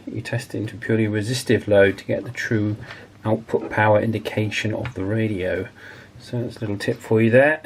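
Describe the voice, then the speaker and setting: A British man with a fairly low voice narrates as the host of a hobby repair channel. He explains each point in a matter-of-fact way, with the informal feel of someone talking through hands-on work. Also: slight background noise.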